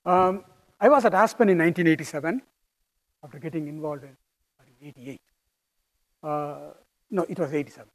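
A man with a strong Indian accent lectures on physics in a high voice. He is middle-aged and talks in a halting, thoughtful way, with fillers and restarts as he works through his ideas. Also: rough recording. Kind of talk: academic lecture.

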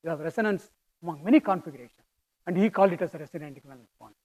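A middle-aged man with a strong Indian accent giving a physics lecture, speaking in a halting, thoughtful way with fillers and restarts. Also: a little high, darkish tone. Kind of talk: academic lecture.

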